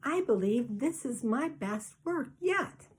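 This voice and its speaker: A woman voicing a kind older woman quilter, gentle and reassuring. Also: on the high side, lively intonation, takes it slowly, breathy edge, voice pushed up, exaggerated voice. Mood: soothing.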